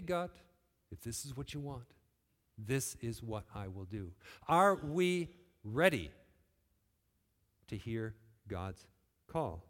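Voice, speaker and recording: A man from West Michigan preaching a sermon in a church, earnest, pushing phrases up and then letting them fall quiet. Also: on the high side. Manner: earnest, rising and falling emphasis, quiet closing phrases. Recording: long hall reverb.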